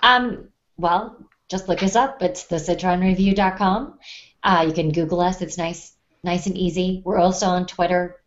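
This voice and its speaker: A woman's voice: fairly low pitch, steady tone, normal speed. A woman co-hosting a casual podcast, explaining her points plainly and conversationally. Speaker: podcast co-host. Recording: dull, muffled lo-fi sound.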